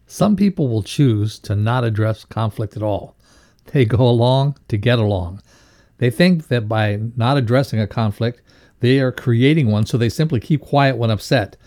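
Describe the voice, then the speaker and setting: Mellow-voiced man reading a motivational lesson. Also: medium pitch, moderate pace. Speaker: co-host reading a values lesson. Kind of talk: read-aloud motivational lesson.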